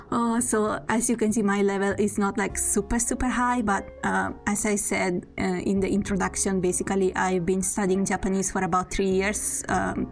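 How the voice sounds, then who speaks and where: A young woman from Italy with an Italian accent, introducing herself on a live stream in a casual, apologetic way, laughing often. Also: medium pitch. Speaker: VTuber streamer.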